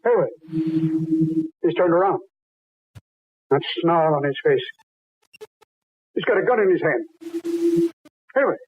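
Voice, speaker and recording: An actor playing a brisk, watchful seafarer with a clipped, urgent delivery in old-time radio drama style. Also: male voice, fairly high pitch, expressive, husky, exaggerated voice. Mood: urgent, wary. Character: brisk, watchful male seafaring adventurer, protective family man. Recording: tinny band-limited old radio recording.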